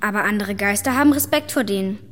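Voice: mid-range voice, fast talker, a bit bright, close to an everyday voice. An actor playing a plucky, eager young boy ghost hunter in a German children's audio drama. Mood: eager, knowing. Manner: eager, explains ghost lore in detail. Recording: reverberant hall, music bed.

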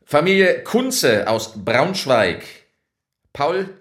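A pompous, brisk official in a radio play, declaiming to a roomful of people. His voice is bright and fairly high, swinging widely in pitch. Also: sing-song, reverberant, exaggerated voice. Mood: officious. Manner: declamatory, self-important. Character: brisk officious male refugee official reading lists.

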